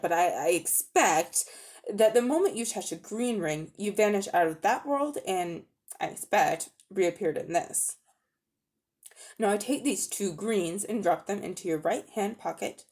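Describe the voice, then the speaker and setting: A woman's voice: on the low side, a little mellow, lightly acted. A woman voicing a haughty, cold-hearted older magician uncle in a dramatic storybook reading, condescending and coolly menacing.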